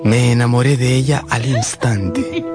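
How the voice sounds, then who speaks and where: A man's voice: mid-range voice, steady tone, measured pace, natural voice. Man acting an earnest young storyteller, music behind. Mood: wistful narration. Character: earnest, sensitive young man narrating his own life. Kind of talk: radio drama acting and narration.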